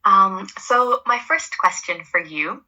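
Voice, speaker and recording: An Irish woman leads a friendly interview. She sounds encouraging and laughs often, with a very bright, clear tone. Her questions come at an easy, conversational pace. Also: mid-range voice, lively intonation, slow pace, dull audio. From Ireland.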